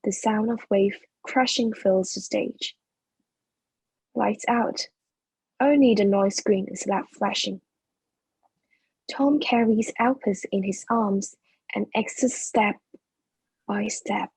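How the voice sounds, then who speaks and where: A woman with a breathy, husky voice reading stage directions as scripted narration for a play. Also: mid-range voice, slow pace, pauses often, a little mellow, no room sound, rough recording.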